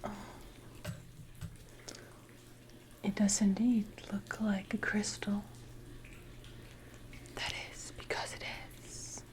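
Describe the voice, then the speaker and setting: Slow, whispering predatory siren, played by a woman. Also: mid-range voice, level tone, lightly acted. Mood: amused, smug. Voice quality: breathy, mostly unvoiced whisper. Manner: slow, deliberate, condescending, archaic phrasing. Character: predatory female siren, haughty, seductive, menacing. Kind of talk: ASMR roleplay, whispered. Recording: noisy ambience with water drips.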